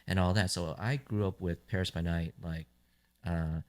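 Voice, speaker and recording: A man with a very low voice hosts a podcast. He asks his questions in a relaxed, casual way, often using "um" and "like". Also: moderate pace. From United States. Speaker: podcast host. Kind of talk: casual interview chat.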